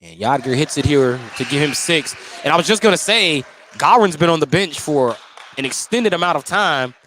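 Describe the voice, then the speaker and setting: A man's voice: fairly high pitch, animated, normal speed, fairly bright tone, slight background noise. A man doing live radio play-by-play commentary of a basketball game as the announcer.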